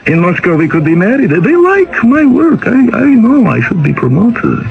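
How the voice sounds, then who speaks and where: An actor playing an anxious, ambitious, lovestruck Soviet commissar in a radio drama, earnest and stammering. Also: male voice, high-pitched, huge pitch swings, normal speed, creaky, exaggerated voice. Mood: eager, hopeful. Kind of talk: 1980 radio drama acting. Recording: muffled old radio recording.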